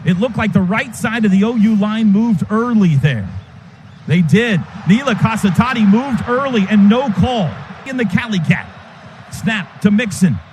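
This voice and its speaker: High-pitched man calling football on radio. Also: a little slow. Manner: clipped, vivid, excitable calls. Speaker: radio play-by-play announcer. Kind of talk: live football play-by-play commentary. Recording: radio broadcast over loud stadium noise. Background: stadium crowd noise.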